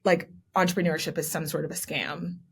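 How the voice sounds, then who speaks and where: A young adult woman speaks in a lowish voice as a podcast guest. She explains things clearly and at length, often adding a quick "right?" or "um". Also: fairly even intonation. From born in Nigeria, raised in Texas. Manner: articulate, explanatory, with frequent "um" and "right?" asides. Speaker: guest, commissioning editor. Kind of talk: conversational interview answers.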